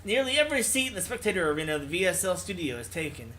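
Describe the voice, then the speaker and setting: A man's voice: high-pitched, big pitch swings, very fast, pushed and bright, slight background noise. A man reading visual novel text aloud as a let's player, stumbling over words and correcting himself, with casual asides. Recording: music playing behind the voice.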